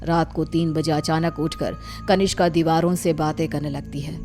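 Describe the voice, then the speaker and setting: Low, even-voiced woman narrator. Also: normal speed. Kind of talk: scripted story narration. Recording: music behind the voice.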